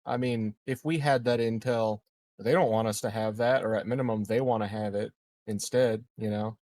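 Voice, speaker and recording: A man's voice: on the low side, steady tone, moderate pace, a little mellow. A man playing a tabletop roleplaying game, chatting casually. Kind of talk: casual chat.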